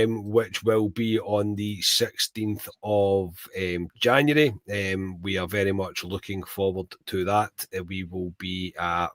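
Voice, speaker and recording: A man hosting a podcast in casual chat, a bit croaky from a cold, calling his co-host mate. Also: lowish voice, no echo.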